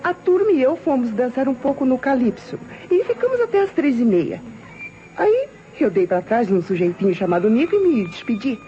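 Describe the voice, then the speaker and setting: A woman's voice: sits high, sing-song, normal speed, warm tone. An actress playing a flippant, worldly showgirl in an old radio crime drama, with a breezy, theatrical way of talking. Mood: defensive, flippant. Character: flippant, worldly showgirl being questioned by police. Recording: muffled, noisy vintage Brazilian radio recording.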